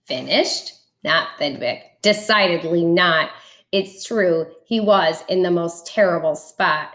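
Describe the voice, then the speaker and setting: Slow, expressive woman reading a storybook. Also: lowish voice, echoey room. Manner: dramatic, deliberate rhyming delivery. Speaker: teacher reading a picture book aloud. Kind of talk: expressive children's storybook read-aloud.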